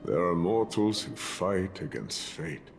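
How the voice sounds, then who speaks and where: Deep, slow grave warrior. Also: male voice, lively intonation, vocal fry, exaggerated voice. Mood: solemn, measured. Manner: measured, solemn, formal. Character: grave, stoic warrior ally, weary of a doomed world. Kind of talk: dramatic video game voice acting. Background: game music.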